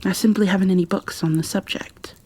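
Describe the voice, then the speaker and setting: A woman playing a gentle, shy, bookish bookkeeper in an ASMR roleplay, soft-spoken and half-whispering, with a hesitant, apologetic way of talking. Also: mid-range voice, steady tone, slightly rough, natural voice. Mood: shy, rambling. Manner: hesitant, apologetic, halting, careful. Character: gentle, shy, bookish female creature bookkeeper, peace-loving and kindly.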